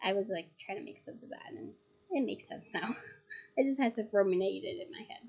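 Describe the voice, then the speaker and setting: A slightly hoarse woman reading a novel aloud at home, breaking off for chatty, giggly asides. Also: mid-range voice, fairly quick, darkish tone. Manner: chatty, giggly asides between read passages. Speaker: reader of a novel. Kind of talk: casual read-aloud with asides. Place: at home on her bed.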